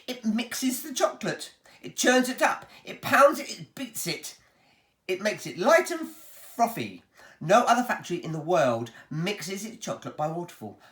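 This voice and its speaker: A woman's voice: medium pitch, sing-song, normal speed, pitched above the performer's own voice, lightly acted. A woman doing a gushing, showy candy-maker man. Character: eccentric excitable male chocolate-maker. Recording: roomy echo.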